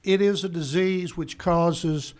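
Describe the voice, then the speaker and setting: Hoarse male lawmaker presenting a bill. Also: fairly high pitch, fairly even intonation, slow, a little mellow. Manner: apologetic, stumbling over terms. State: hoarse with a cold. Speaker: state representative. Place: legislative committee room.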